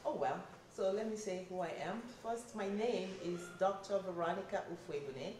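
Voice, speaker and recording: A woman's voice: fairly low pitch, normal speed, distant mic. Nigerian woman at a crowded event. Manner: earnest, lists points one by one. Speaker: interviewee at a community town hall. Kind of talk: answering interview questions. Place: recreation center, crowd nearby. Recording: roomy hall, voice from off-mic, crowd behind.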